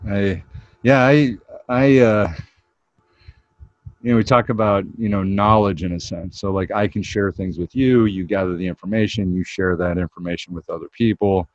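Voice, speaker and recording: A man hosting a podcast interview, speaking haltingly with many restarts and fillers. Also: on the low side, fairly even intonation, no echo. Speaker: podcast host. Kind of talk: interview questions. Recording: dull, dry lo-fi sound.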